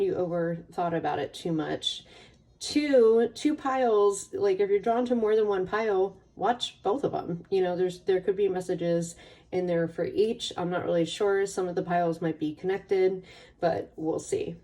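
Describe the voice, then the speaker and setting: A woman hosting a casual tarot card reading in an informal, playful way, her voice slightly raspy as she gets over a cold. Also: on the low side, unhurried, mellow, distant mic. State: getting over a cold or allergies. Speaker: tarot reader hosting a pick a card channel.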